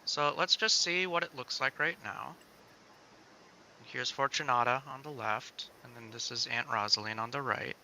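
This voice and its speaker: A man's voice: mid-range voice, normal speed, bright, forceful tone, a little hiss or hum, low-quality recording. A man co-hosting, chatting casually and walking through a project without a script. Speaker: co-host presenting a game-making project. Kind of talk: casual unscripted chat and walkthrough.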